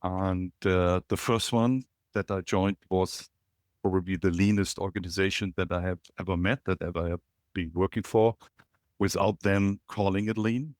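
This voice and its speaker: A middle-aged man from Germany with a German accent, a podcast guest calling in remotely and telling his story in a reflective, halting way with many fillers. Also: sits low, steady tone, darkish tone, no echo, studio quality. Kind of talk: casual interview, storytelling. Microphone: remote call.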